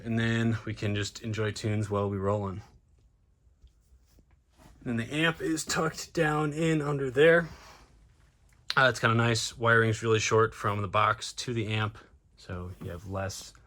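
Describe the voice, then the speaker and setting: A man's voice: lowish voice, fairly even intonation, unhurried, long pauses, fairly bright tone. A man talking casually in a laid-back, slangy way, like a DIY vlogger. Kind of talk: casual DIY vlog chat.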